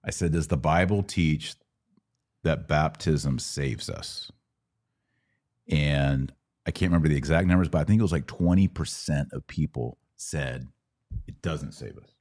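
A man with a low voice hosts a casual live Q&A. He explains things in a didactic way, step by step, posing questions and offering everyday analogies as he goes. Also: unhurried, a little mellow. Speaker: podcast host answering Bible questions.

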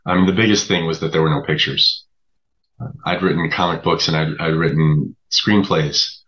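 Very deep-voiced man, slightly muffled. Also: moderate pace, a bit bright. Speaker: writer, interview guest. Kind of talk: conversational explanation.

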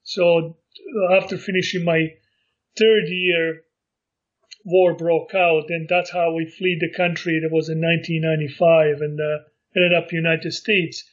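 A middle-aged man from Montenegro, recalling his life in a casual interview and speaking haltingly with many fillers and restarts. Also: on the high side, little pitch movement, lo-fi sound. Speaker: interview guest.

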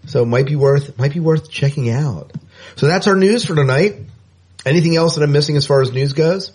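A man's voice: a little high, brisk, a little mellow, slightly rough. A man co-hosting a sci-fi podcast, joking with his partner and running the segments. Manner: joking, teasing, runs the segments. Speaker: podcast co-host. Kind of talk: casual podcast chat.

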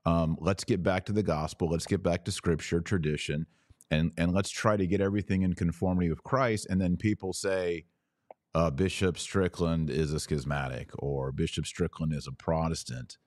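Low-voiced man hosting a podcast. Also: steady tone, normal speed, a little mellow, dry recording, studio quality. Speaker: podcast host and interviewer. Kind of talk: conversational interview.

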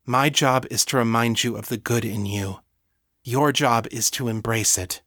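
Kind but commanding mistress for fantasy audiobook, read by a man: protective, steady resolve, medium register. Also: medium pitch, measured pace, no room sound, studio quality. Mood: patient, resolved. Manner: firm, compassionate. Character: Miriam, kind, firm, protective mistress, woman.

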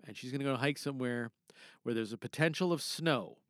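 A man's voice: medium pitch, fairly quick, no echo, clean studio sound. A man hosting a podcast in a chatty, theatrical way, with rambling casual asides. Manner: animated, theatrical announcing mixed with rambling asides. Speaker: podcast host. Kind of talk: casual, chatty show introduction.

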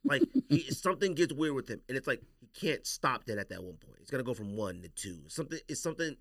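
A man's voice: mid-range voice, lively intonation, quick pace, fairly bright tone, dry recording, clean studio sound. An American man hosting a casual sports podcast, giving emphatic, opinionated takes and repeating his points for emphasis.

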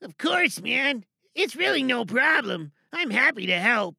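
A woman's voice: a little high, very animated, a little slow, gravelly, bright, forceful tone, husky, dry recording, studio quality, full character voice. A woman playing a small, cheerful, meek helper in an audio drama monologue, hesitant and apologetic, eager to please as they read out an advert. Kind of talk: monologue acting with stilted advert reading.

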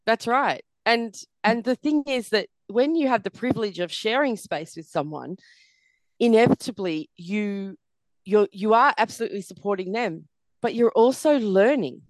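A middle-aged woman, a podcast guest, speaking earnestly with a croaky, tired voice and lots of "you know" fillers. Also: medium pitch, lively intonation, moderate pace, dry recording, low-quality recording. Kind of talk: reflective interview conversation.

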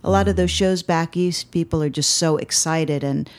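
A woman musician telling stories in a relaxed interview, enthusiastic and chatty. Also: low voice, normal speed. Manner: enthusiastic storyteller, chatty with restarts. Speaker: band musician guest. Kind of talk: casual interview chat.

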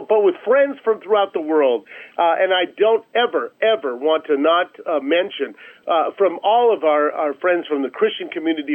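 A man with a fairly high voice speaks as an interview guest over a thin telephone connection. He makes his case emphatically, building it with repetition and rhetorical questions. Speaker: interview guest, nonprofit chief executive. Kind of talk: radio interview, persuasive storytelling. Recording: tinny, narrow-band telephone line.

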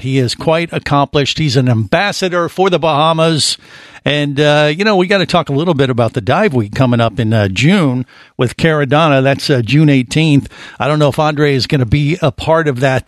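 A man's voice: mid-range voice, dry recording, studio quality. A man hosting a radio talk show, interviewing a guest in a chatty, rambling way.

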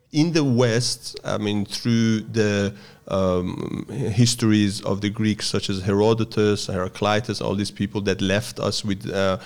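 A man from Iran speaks in a somewhat low register. He explains his practice patiently, in measured interview answers, often pausing with small hesitations. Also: a little mellow. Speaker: guest, Persian strength and yoga teacher.

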